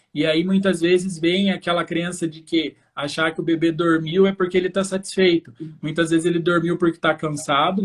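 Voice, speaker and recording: Higher-pitched Brazilian man explaining clearly to parents. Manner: explanatory, fluent, addressing viewers directly. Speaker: host, infant osteopath. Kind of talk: live educational talk to parents.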